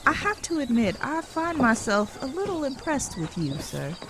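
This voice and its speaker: An actress playing a polite, playfully coy young working woman in an Old West audio drama, speaking in a genteel, measured way. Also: sits high, sing-song, a crowd in the background. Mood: impressed, coy.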